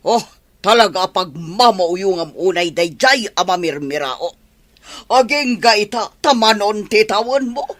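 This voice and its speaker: A man playing a stern older mother who scolds her grown son in a radio drama, with sharp, reproachful delivery. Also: high-pitched, expressive, a little slow. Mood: scolding.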